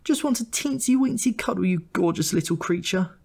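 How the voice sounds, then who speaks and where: A man puts on a large, gushing storybook auntie with a very high voice. She is overbearing and syrupy, cooing in cutesy baby talk. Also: animated, fairly quick, gravelly, a little mellow, heavily acted.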